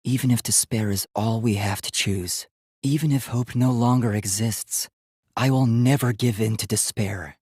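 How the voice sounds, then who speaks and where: A man's voice: mid-range voice, normal speed, darkish tone, breathy edge, no echo, studio quality, natural voice. A man voicing an earnest, polite young male robot in a video game, speaking in formal, precise, literal-minded phrases. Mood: solemn, resolute.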